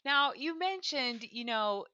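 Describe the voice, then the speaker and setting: Bright, upbeat woman podcast host. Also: high voice, lively intonation, slow pace, smooth, no room sound, dull audio. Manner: enthusiastic, affirming, upbeat. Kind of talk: interview hosting.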